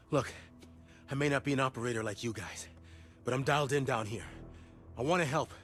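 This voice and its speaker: A man's voice: mid-range voice, moderate pace, a bit bright, lightly acted. Guy acting as earnest cia contact in a game cutscene, mid voice, talks informally, music behind him. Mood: insistent, pleading. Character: grateful, earnest rescued male CIA intelligence contact.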